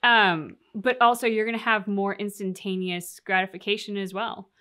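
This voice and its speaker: A woman's voice: medium pitch, fairly even intonation, normal speed, sharp tone. A woman co-hosting a reaction show, talking casually and reflectively about a game's story, explaining her thoughts at length. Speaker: reaction channel co-host. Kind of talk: casual discussion of a video game story.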